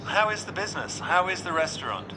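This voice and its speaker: A man presenting radio news, asking probing, measured questions in an interview. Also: sits high, big pitch swings, background noise.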